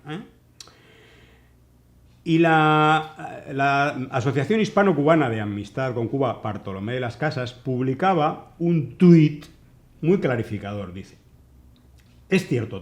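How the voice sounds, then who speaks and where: A man's voice: mid-range voice, expressive, measured pace. A man co-hosting a political commentary show, reading quotes aloud with ironic asides. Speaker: co-host.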